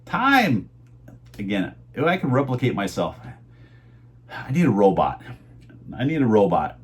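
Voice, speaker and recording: A middle-aged man casually rambling in a home vlog about his reseller sales, chatty and meandering with many fillers, his voice going hoarse. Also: mid-range voice, huge pitch swings, a little slow. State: losing his voice. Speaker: eBay reseller vlogger.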